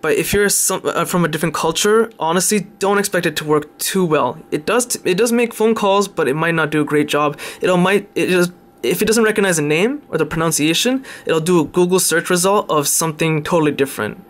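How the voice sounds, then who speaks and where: Casual man, slightly high voice. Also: a little fast. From Canada. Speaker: tech video presenter. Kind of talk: casual unscripted phone demonstration.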